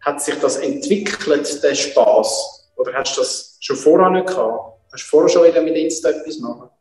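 A man's voice: a little high, lively intonation, moderate pace, a little mellow. Swiss German male interviewer in reverberant room. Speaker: interviewer, host. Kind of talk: casual interview questioning. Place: indoor martial arts training room. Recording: echoey room reverb, music behind.

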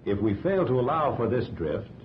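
An American man reading scripted instructional narration for a 1940s training film in a measured, didactic way. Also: very animated. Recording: dull 1940s film soundtrack, rumbling noise.

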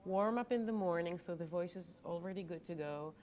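A woman's voice: fairly low pitch, brisk, a little hiss or hum. A woman in her thirties whose first language is Hebrew, an opera singer talking candidly and with animation as a guest in a TV studio interview. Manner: candid, animated, laughs easily.

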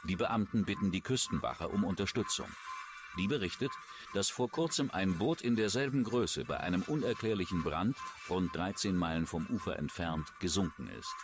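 A man's voice: low voice, lively intonation, normal speed, over loud music. A man reading scripted true-crime documentary narration.

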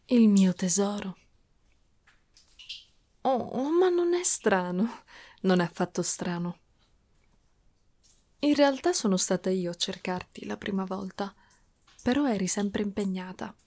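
A doting dragon woman, acted out by a woman speaks in an intimate ASMR roleplay style. Her delivery is slow, at a middling pitch, lingering on words with a cooing, teasing sweetness. She comes across as clingy and possessive, a yandere guarding her treasure. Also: expressive, mellow. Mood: possessive, adoring.

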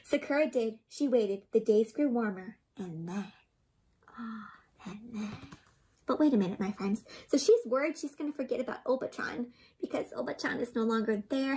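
A young woman doing an interactive storybook read-aloud for children, expressive and gently teacherly, often putting questions to her listeners. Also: on the high side, moderate pace, slightly rough. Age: young adult. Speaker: children's storybook reader and host. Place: small indoor room with books and plants.

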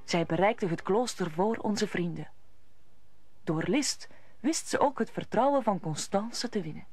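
A woman's voice: medium pitch, animated, a little slow, a little mellow, no room sound, soft background music. A woman narrator telling the story in an old Dutch radio drama, in scripted storytelling style.

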